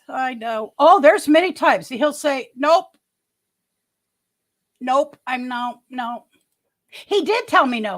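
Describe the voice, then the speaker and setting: Chatty high-voiced woman in her sixties. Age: 60s. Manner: rambling, many restarts and asides. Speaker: livestream host. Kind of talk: casual live chat reading viewer comments.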